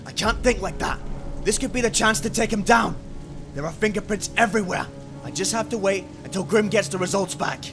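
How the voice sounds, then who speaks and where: A man's voice: sits high, gravelly. Man acting a brooding detective, music behind. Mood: frustrated, angry. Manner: brooding, muttering to himself, swearing. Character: hot-tempered, obsessive police detective, weary and foul-mouthed. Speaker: voice actor in amateur radio play. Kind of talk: amateur radio drama acting and inner monologue.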